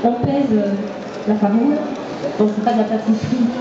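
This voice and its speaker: Slightly hoarse young woman demonstrating a recipe. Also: fairly high pitch, normal speed, crowd noise. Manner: hesitant, with many fillers. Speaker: cooking contestant giving a demonstration. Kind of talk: live cooking demonstration. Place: indoor fair hall with an audience. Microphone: handheld microphone. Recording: distant, echoey, muffled hall recording.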